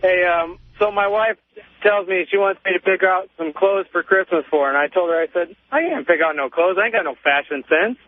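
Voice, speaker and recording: A male caller on a narrow, tinny phone line. His voice is high for a man, and he talks in loose, slangy, informal language. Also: brisk. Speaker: radio show caller. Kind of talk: casual storytelling. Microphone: telephone.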